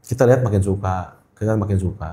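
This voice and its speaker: Middle-aged Jakarta man, relaxed dark voice, colloquial podcast guest who repeats himself for emphasis, roomy echo. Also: lowish voice, fast talker. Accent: Jakarta Indonesian. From Indonesia. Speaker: guest, gemstone trader. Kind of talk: casual interview chat. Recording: echoey room.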